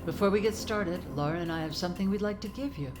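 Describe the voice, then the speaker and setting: A woman's voice: lowish voice, fast, over music, lightly acted. A woman playing a gracious, friendly famous actress in audio drama dialogue, polite and cordial.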